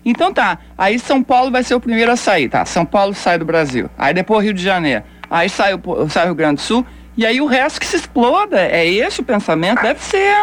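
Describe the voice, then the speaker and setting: A woman's voice: mid-range voice, sing-song, brisk, hardly any pauses, slight background noise. A woman from Bahia hosting a call-in radio show, talking bluntly and conversationally with rhetorical questions. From Bahia, Brazil. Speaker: radio host.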